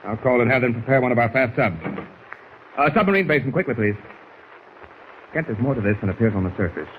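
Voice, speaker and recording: A man's voice: mid-range voice, expressive, fast, lightly acted. Guy acting anxious navy sub commander barking short orders, old 40s radio serial, lots of noise. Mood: concerned, determined. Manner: authoritative, clipped orders. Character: brisk, anxious middle-aged male navy submarine commander. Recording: noisy vintage 1940s radio recording.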